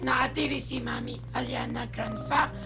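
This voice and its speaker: A performer playing an excitable small Cebuano child in a radio drama, calling out in a drawn-out way. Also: high-pitched, vocal fry. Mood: excited. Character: excited small child greeting mother and grandparents.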